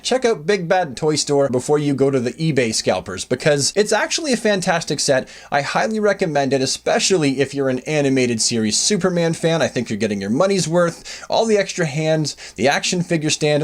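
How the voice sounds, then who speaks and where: High-pitched man, chatty toy reviewer. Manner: chatty, emphatic, playful wording. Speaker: host of a toy review channel. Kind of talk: informal scripted review voice-over.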